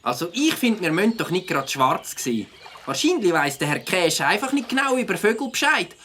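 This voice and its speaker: A woman's voice: lowish voice, very animated, brisk, fairly bright tone, a little hiss or hum. A woman voicing a trusting, easygoing young male biker buddy in a children's audio drama. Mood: optimistic.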